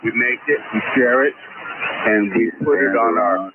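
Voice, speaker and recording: A man chatting casually over a ham radio net, rambling and halting as he talks. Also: medium pitch. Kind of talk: casual on-air chat. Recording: tinny radio link with loud static.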